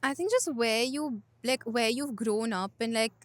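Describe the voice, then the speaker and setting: A woman's voice: on the high side, a little slow, dry recording. A young woman from Delhi, chatting casually with frequent restarts. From Delhi, India. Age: young adult. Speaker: podcast guest. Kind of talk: casual group chat.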